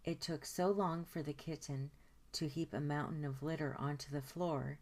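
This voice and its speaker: A woman narrating a children's picture book aloud in an expressive storytime style, stretching words for emphasis. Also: deep voice, normal speed. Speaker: storytime host reading aloud.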